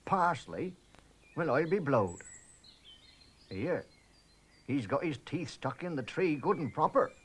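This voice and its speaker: A man voicing a pompous, bumbling rural police constable in slow countrified dialect. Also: on the high side, expressive, breathy edge, heavily acted. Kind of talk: 1960s children's TV animation voice.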